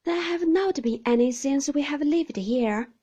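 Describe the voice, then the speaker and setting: A woman's voice: unhurried, warm tone, muffled recording. Woman putting on a trembling, fragile young mother. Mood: wounded. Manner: high, trembling and helpless.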